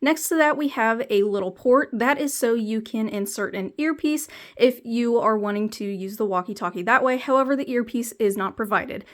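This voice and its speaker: A woman's voice: a little high, normal speed, few pauses. A woman reviewing a product, explaining its features plainly, step by step. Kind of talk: explanatory product demo narration.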